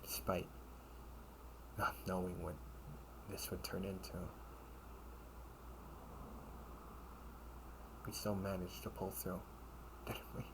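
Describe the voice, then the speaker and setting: Slow, low-voiced loyal knight played by a man. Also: level tone, background noise. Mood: wistful, reflective. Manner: slow, halting delivery with breathy, hushed phrases. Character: loyal knight comrade, earnest and encouraging, gently chiding. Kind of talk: audio roleplay monologue, dramatic and intimate.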